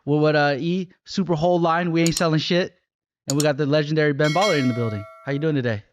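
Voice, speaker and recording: A man's voice: a little high, fairly even intonation, fast, background noise. A man in his thirties from Atlanta, hosting a casual podcast interview with joking, laid-back slang. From Atlanta, USA. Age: 30s. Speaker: podcast host.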